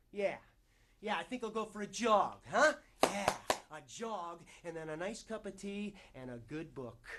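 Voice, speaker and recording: A man performs a frayed, struggling actor in a theatrical monologue, pleading and bargaining. His pitch swings widely as he moves between hushed confession and outburst. He keeps interrupting himself, jittery and desperate.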